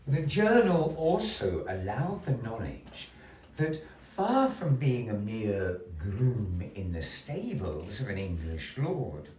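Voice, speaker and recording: A man's voice: lowish voice, very animated, a little mellow. Theatrical male storyteller in an echoey gallery. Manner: dramatic, drawn-out, playful asides. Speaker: puppet show storyteller. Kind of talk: theatrical storytelling to a live audience. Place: small gallery room with audience. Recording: roomy echo, distant mic, faint noise.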